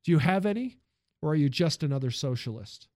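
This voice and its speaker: A cold, commanding automobile tycoon, acted out by a male narrator. He demands obedience in emphatic, clipped phrases that rise and swing in pitch, as in a dry audiobook recording. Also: expressive, fairly quick, a little mellow, dry recording, natural voice. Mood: stern, demanding.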